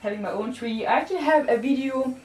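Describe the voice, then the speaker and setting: A woman's voice: high-pitched, quick pace, darkish tone. Young Danish woman, bubbly rambling vlogger, far from the mic in an echoey bedroom. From Denmark. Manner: bubbly, giggly, rambling with many "like"s. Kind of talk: casual chat while decorating. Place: bedroom, indoors. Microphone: far camera. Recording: roomy, distant, with music faint behind.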